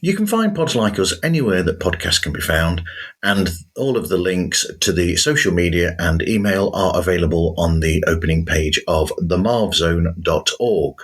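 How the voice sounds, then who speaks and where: A man hosting a podcast monologue, giving his opinions in a conversational, emphatic way with a husky voice. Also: low voice, expressive, normal speed. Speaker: podcast host. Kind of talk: podcast monologue, part scripted, reviewing other shows.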